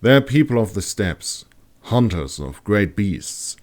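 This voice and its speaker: Guy doing a bold curious explorer leader, deep voice, explains things confidently, fantasy audiobook. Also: big pitch swings, measured pace, a little mellow. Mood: explaining.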